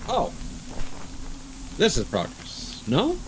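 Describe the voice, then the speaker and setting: A man's voice: a little high, wildly swooping pitch, very slow, a little hiss or hum, rough recording. A man doing casual live game commentary, chatting with viewers and rambling as he thinks aloud. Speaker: live streamer playing a video game.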